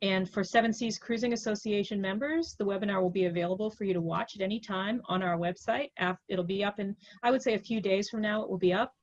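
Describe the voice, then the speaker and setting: A woman's voice: medium pitch, fast, few pauses, highs cut off. A woman hosting and introducing a webinar over a video call, making announcements in a chatty way with frequent ums and uhs. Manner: chatty, filler-heavy, friendly announcements. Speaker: webinar host.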